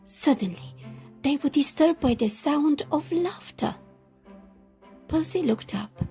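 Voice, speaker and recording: A woman reading a children's picture book aloud as an expressive storyteller. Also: sits high, slow, soft background music.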